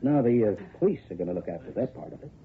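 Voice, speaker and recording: An actor playing a tough, sardonic private detective in an old-time radio drama, wry, methodical and slangy. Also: male voice, on the low side, animated, quick pace, close to an everyday voice. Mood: pressing. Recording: tinny old radio recording, music behind.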